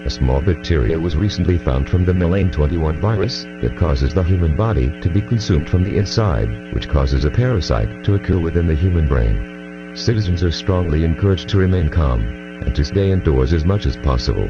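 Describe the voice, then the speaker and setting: Guy doing emergency alert announcer, super low voice, serious and formal, lo-fi sound w music under it. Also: lively intonation. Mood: grave, official. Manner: formal, measured. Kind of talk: scripted emergency broadcast. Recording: dull lo-fi audio with music behind.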